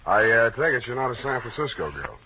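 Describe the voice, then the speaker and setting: An actor playing a wry, hard-boiled private detective with an offhand, halting delivery in 1940s radio style. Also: male voice, medium pitch, big pitch swings, husky, heavily acted. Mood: sardonic. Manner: wry, offhand, punctuated with uhs. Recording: narrow-band, noisy 1940s radio recording.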